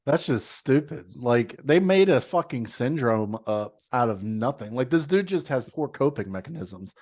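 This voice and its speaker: A man's voice: medium pitch, lively intonation, normal speed, a little mellow. Ranting, profane man on a podcast. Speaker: podcast co-host. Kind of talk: casual chat.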